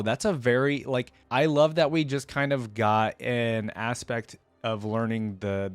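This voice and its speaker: A man's voice: fairly low pitch, no room sound. A man hosting a reaction video, reading lore aloud and commenting casually as he goes.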